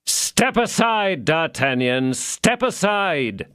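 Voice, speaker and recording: A man voicing a calm, grim swordsman who speaks slowly and evenly. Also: on the high side, clean tone, no echo, studio quality, voice pushed up, exaggerated voice. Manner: calm, slow, measured. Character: calm, grim male swordsman behind a door.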